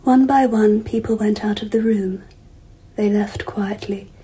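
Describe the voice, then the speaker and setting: A reflective, dignified empress in a radio drama. Her voice is medium in pitch and extremely breathy, often nearly whispered. She tells her story in measured, hushed phrases. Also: steady tone, rough recording. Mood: reflective, composed. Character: dignified, reflective empress recalling mysterious events.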